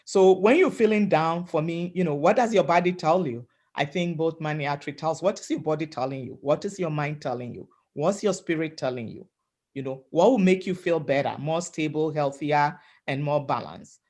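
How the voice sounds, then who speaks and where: Woman from africa on a webinar call, loud and emphatic, keeps saying "right?" and "you know". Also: sits low, normal speed. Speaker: webinar panelist. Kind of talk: motivational talk.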